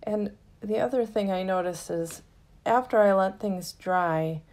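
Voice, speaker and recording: A woman giving a casual, gently explanatory tutorial narration in an unhurried way, as the host of a craft lesson. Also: medium pitch.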